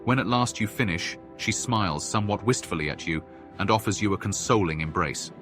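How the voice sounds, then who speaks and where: A male narrator tells a fantasy game's story in a fairly low voice. His delivery is scripted, like a storybook reading. Soft music plays underneath. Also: expressive, brisk.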